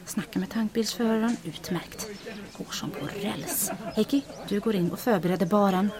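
A woman voicing a brisk resistance agent who gives crisp commands. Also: medium pitch, crowd noise, close to an everyday voice. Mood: calm commanding. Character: brisk female resistance agent leading a mission.

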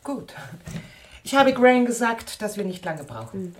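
An actress in a radio play playing a brisk, insistent mother of a grown daughter, pushy and easily exasperated. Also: mid-range voice, very animated, crowd noise. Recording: loud clatter of crockery and cutlery.

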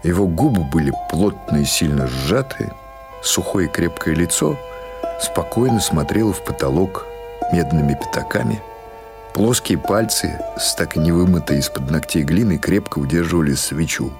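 A man reading literary prose as an audiobook narrator, in a measured, dramatic storytelling manner. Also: low-pitched, lively intonation, over music.

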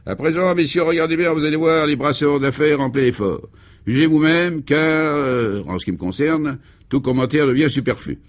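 A man's voice: a little high, normal speed, pitched above the performer's own voice, natural voice. A man playing a self-important, obliging business director with a ceremonious, showman-like manner in a comic radio serial.

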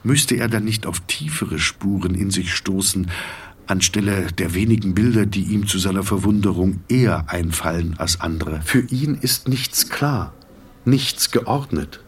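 Low, husky male literary narrator. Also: measured pace. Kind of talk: dramatic literary narration in audio drama.

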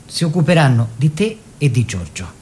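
An actor playing a devoted young painter husband, earnest and protective, in a measured theatrical delivery. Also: male voice, medium pitch, sing-song, darkish tone, close to an everyday voice. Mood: reassuring. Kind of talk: theatrical monologue and letter reading.

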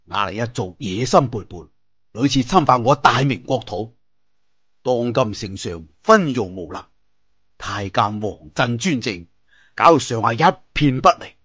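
A Cantonese man voicing a righteous martial-arts leader with grave, measured oratory in an audio storybook. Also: medium pitch, animated, a bit bright, husky, no echo. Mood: solemn, resolute.

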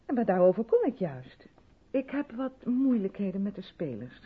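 Radio drama, female role: strict, insistent rehearsal leader, persuasive and pointed, very expressive intonation, muffled vintage sound. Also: mid-range voice, normal speed, husky. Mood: earnest, confiding. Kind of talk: 1970s Dutch radio drama acting.

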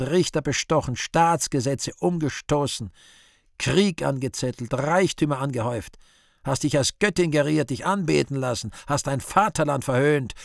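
An actor playing the Devil in a radio play, weary, sly and scornful, declaiming slowly and theatrically. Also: male voice, medium pitch, dry recording, clean studio sound, lightly acted. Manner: slow, deliberate, theatrical declamation with drawn-out words.

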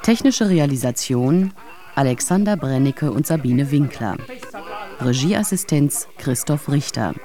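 An announcer reading scripted radio drama credits in a measured, formal way. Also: medium pitch, warm tone.

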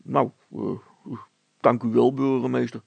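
A man plays a plain-spoken, stubborn village policeman in a storybook. He is earnest and emphatic, in a gravelly, rough voice recorded dry and close. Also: medium pitch, level tone, unhurried, no echo. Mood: surprised, humble. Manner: blunt, emphatic.

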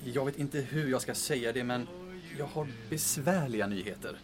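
A man playing an awkward, evasive hotel receptionist with a formal, halting manner in an audio drama. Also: mid-range voice, soft background music, close to an everyday voice. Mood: awkward, uneasy.

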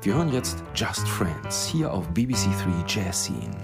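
A man's voice: sits low, sing-song, a little slow, creaky, full character voice. A man playing a composed jazz radio presenter announcing a record in a radio play. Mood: calm, smooth. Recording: guitar music behind the voice. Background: music with plucked strings.

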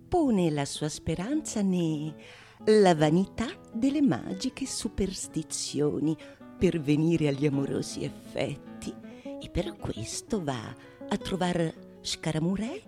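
A teasing, declamatory prologue narrator from the stage. Her high voice leaps and dives with great range, taking its time over each ornate phrase. Plucked guitar plays behind her. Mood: sly narrating. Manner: teasing, declamatory, swinging from whispers to shouts. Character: mischievous theatrical prologue narrator, woman, taunting the audience. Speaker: stage actress. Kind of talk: theatrical monologue in archaic literary Italian.

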